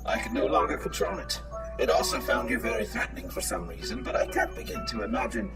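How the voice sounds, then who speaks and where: A distant-sounding old half-mechanical villain, bombastic and menacing. The gravelly voice swings wildly in pitch with grandiose theatrical flourish, over loud music in an audio drama. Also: male voice, expressive, fast. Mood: smooth menace. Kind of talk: audio comedy drama acting.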